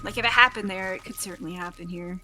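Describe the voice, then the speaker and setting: A woman's voice: mid-range voice, quick pace, over music, poor audio. A woman in casual conversation while playing a video game, very informal, dramatically acting out her own reactions. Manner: informal, frequent "like", quotes herself dramatically. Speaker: voice actor being interviewed.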